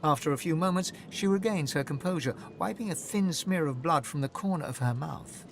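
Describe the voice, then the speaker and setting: Dramatic fantasy storyteller over music. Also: male voice, fairly high pitch, brisk, gravelly. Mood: grave, descriptive. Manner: literary, dramatic. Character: solemn male storyteller narrating a dark fantasy. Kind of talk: scripted second-person game narration. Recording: music behind the narration.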